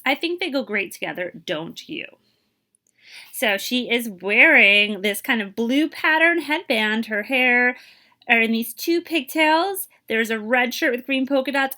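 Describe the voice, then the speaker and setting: Bright, animated woman reading to children. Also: fairly high pitch. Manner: expressive, explanatory, asks the listener questions. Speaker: librarian reading aloud to children. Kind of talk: expressive children's picture-book read-aloud with asides.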